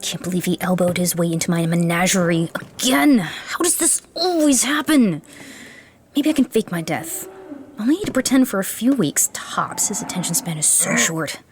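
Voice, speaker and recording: An actress playing an exasperated, sardonic beast keeper in a comedic fantasy audio drama. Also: female voice, lowish voice, big pitch swings, normal speed, faint music behind. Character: exasperated, sulky young female beast keeper. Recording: busy sound effects behind voice.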